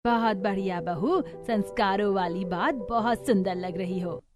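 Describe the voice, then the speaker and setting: A woman's voice: medium pitch, a little slow, natural voice. Woman playing a proud mother-in-law over music. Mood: approving. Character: stern middle-aged mother-in-law, proud and scolding. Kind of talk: Hindi cartoon family melodrama.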